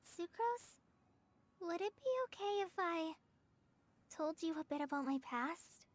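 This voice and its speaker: A woman's voice: very high voice, animated. An actress voicing an anxious, self-doubting young girl in a video game, speaking in a hesitant, earnest and awkward way. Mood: downcast confession. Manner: hesitant, halting, self-conscious. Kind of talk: English video game voice acting.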